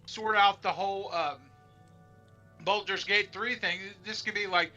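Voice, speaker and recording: A man's voice: sits high, steady tone, a little slow, pushed and bright, lo-fi sound, faint music behind. A man co-hosting a casual livestream, pushing his questions and suggestions. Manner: pushy, keeps pressing questions. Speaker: co-host.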